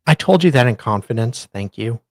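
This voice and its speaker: A man's voice: mid-range voice, lively intonation, brisk, a little mellow, no room sound. Casual male co-host, dry close sound. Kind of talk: casual chat.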